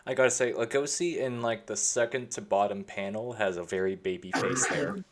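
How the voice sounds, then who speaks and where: A man's voice: fairly low pitch, fairly even intonation, normal speed. A man chatting casually as a podcast co-host on an online voice call. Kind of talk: casual chat.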